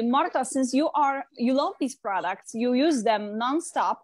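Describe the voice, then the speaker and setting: A woman's voice: on the high side, rough recording. A woman from Poland with a Polish accent, earnestly telling her life story as an interview guest.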